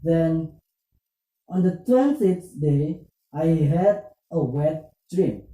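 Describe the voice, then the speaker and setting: A puppet show presenter, speaking scripted religious dialogue in halting, deliberate English. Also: mid-range voice, animated, dark tone. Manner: halting, deliberate phrasing in non-native English. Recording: roomy echo, distant muffled microphone.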